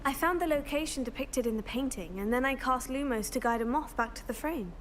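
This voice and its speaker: Game role: actress as composed, clever young female student witch, notably high voice. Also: brisk, over music, close to an everyday voice. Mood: matter-of-fact. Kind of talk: scripted video game dialogue.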